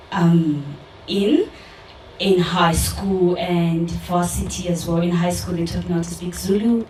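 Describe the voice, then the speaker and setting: A young woman from South Africa speaking candidly and earnestly at a community discussion, pausing with fillers. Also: on the low side, a little mellow, slight background noise. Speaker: audience member in a community dialogue. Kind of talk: personal public discussion. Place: event hall with audience. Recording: roomy, distant mic in a hall.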